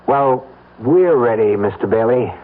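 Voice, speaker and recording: An actor playing a menacing, insistent conspirator in a 1970s radio drama, pressing and accusing. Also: male voice, medium pitch, lively intonation, measured pace, heavy background noise, close to an everyday voice. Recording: muffled narrow-band old radio.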